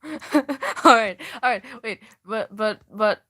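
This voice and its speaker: A young woman on a live stream reads her old fan fiction aloud, stopping to mock it with swearing asides and broken-off sentences. Her voice is bright and sits a little high, and she takes her time with every line. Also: takes it slowly. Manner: self-mocking, swears, laughs often, breaks off mid-sentence. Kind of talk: casual livestream reading with asides.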